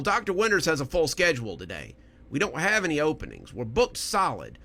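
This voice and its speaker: A man puts on a stern clinic receptionist, a woman at the end of her patience. The delivery is pushed higher, insistent and scolding, in horror storytelling style. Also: bright, forceful tone, heavily acted. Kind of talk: horror audio story character voice.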